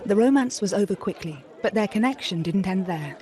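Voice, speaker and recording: A woman's voice: medium pitch, expressive, brisk. A woman reading scripted narration for a documentary. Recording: clear music bed behind. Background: background music.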